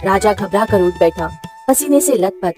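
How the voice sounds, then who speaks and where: A woman's voice: medium pitch, animated, moderate pace, a little mellow, faint music behind. A woman narrating a scripted story in a dramatic storytelling style.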